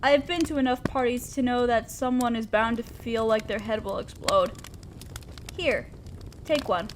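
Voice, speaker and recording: Woman acting high-voiced, shy, awkward young neighbor. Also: a little hiss or hum, close to an everyday voice. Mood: friendly, helpful. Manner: hesitant, soft-spoken, rambling, self-deprecating. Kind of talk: intimate roleplay audio drama, one-sided conversation. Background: outdoor fire ambience.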